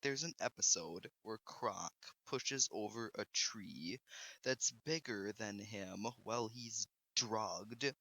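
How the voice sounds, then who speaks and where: A man's voice: fairly low pitch, slow pace, sharp tone, no room sound. A man narrating a scripted video review in a critical, emphatic manner.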